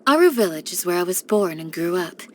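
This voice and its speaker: A woman voicing a calm, devoted young village guardian in a video game, speaking in a measured, gentle and formal way. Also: fairly low pitch, slight background noise. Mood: solemn, resolute. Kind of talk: scripted game character voice lines.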